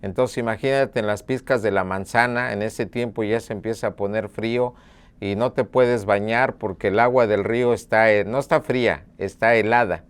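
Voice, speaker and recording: Earnest middle-aged man, low smooth voice. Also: steady tone. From Mexico (Puebla). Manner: earnest, measured, with hesitation fillers. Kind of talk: documentary interview, reflective storytelling.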